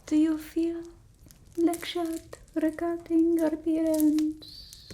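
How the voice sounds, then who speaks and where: A woman voicing a haughty, fussy vampire mistress in a breathy ASMR roleplay, with an imperious, complaining manner. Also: very high voice, completely flat delivery. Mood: lecturing. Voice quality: soft, breathy whisper. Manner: slow, imperious, fussy complaining with drawn-out words. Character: haughty, fussy female vampire mistress scolding her human familiar. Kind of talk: ASMR roleplay, mostly whispered.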